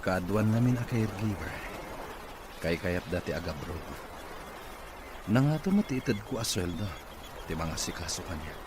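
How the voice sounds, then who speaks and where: Deep-voiced, irritable young boss played by a man. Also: lively intonation, moderate pace, pauses often, background noise. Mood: impatient. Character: irritable, suspicious rich young bachelor boss in wheelchair. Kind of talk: radio drama acting.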